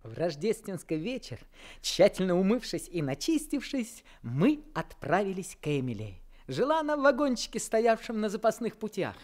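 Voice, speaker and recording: A man's voice: high voice, wildly swooping pitch, normal speed, darkish tone. A man narrating in the first person as a young man looking back on his life in an old Soviet radio play. He tells the story in a reflective, wistful way.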